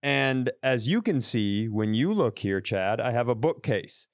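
Smooth-voiced man telling a rambling story. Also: medium pitch, lively intonation, a little slow, no room sound, clean studio sound. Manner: rambling, self-deprecating, restarts often. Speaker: podcast co-host. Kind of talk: casual comedic storytelling. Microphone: podcast mic over video call.

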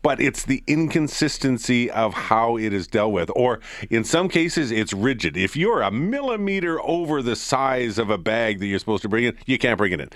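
A man's voice: medium pitch, lively intonation, normal speed, hardly any pauses, a bit bright, no echo, clean studio sound. A man with a Canadian accent hosting a morning radio show with casual banter, teasing and emphatic.

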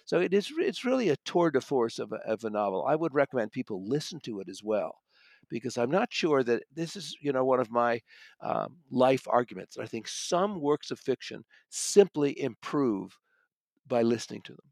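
A man's voice: medium pitch, very animated, moderate pace, creaky, a little mellow, no echo. A man speaking as an audiobook reviewer in a conversational, thoughtful and emphatic way, often correcting himself as he goes. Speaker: audiobook reviewer and magazine contributor.

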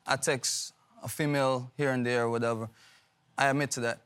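A man's voice: mid-range voice, fairly even intonation, brisk, a bit bright, smooth. Rambling defensive man. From Guyana. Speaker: litigant.

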